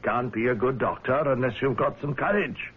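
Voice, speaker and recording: An actor playing a gruff but genial middle-aged whaling fleet owner in a radio drama, avuncular and a little hesitant. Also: male voice, medium pitch, moderate pace, close to an everyday voice. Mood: approving, chuckling. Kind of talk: old-time radio drama acting. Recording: dull, lo-fi old radio broadcast.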